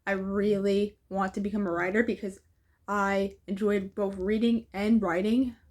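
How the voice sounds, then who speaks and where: A woman's voice: medium pitch, little pitch movement, a little slow, darkish tone. A woman reading a children's storybook aloud as an amateur reader.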